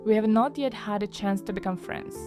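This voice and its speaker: A woman's voice: medium pitch, brisk, over music, lightly acted. A woman playing a polite, modest warplane in an audio drama, formal and careful in diction. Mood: polite, hesitant.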